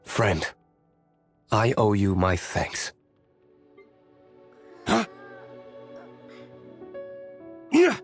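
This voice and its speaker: Brooding noble swordsman, video game hero, lowish voice, lively inflection, reserved, measured delivery. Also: slightly rough, soft background music, full character voice. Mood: solemn, sincere. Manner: reserved, measured, curt, formal. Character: aloof, brooding young nobleman warrior and tavern owner. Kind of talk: video game character voice lines.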